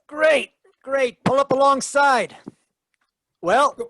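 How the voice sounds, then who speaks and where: Wry old-radio private eye, glib wisecracks, high voice, really dry close sound. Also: male voice, slow, sharp tone, no room sound, heavily acted. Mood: mock friendly. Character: wisecracking hard-boiled private detective man, dry and brisk. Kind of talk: 1940s radio detective acting.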